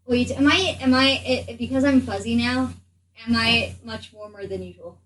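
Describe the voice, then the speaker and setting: A woman's voice: a little high, normal speed, fairly bright tone, recorded from a distance, rough recording. A woman playing a tabletop role-playing game, chatting casually in character in a hesitant, eager, polite way. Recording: off mic, background noise.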